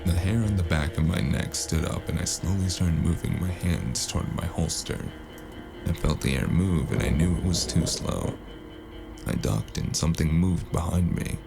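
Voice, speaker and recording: Very deep voice, gravelly, man, dry wry noir narration, loud music underneath. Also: normal speed. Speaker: narrator and lead character. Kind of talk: noir audio drama narration. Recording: music score playing underneath.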